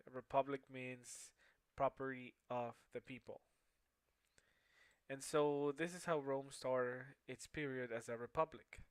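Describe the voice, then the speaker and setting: A young man whose first language is Spanish gives a homework presentation, stopping often to restart his sentences and filling gaps with hesitant sounds. His voice sits at an ordinary pitch and is recorded dry, with no room sound behind it. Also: fairly even intonation, smooth, dry recording. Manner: halting, with many fillers and restarts. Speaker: student presenter. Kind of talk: class presentation.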